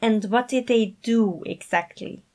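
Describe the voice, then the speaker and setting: A woman's voice: a little high, measured pace, mellow. A woman voicing a timid, cautious young man in a fan fiction reading, speaking hesitantly. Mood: wary. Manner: hesitant, muttering.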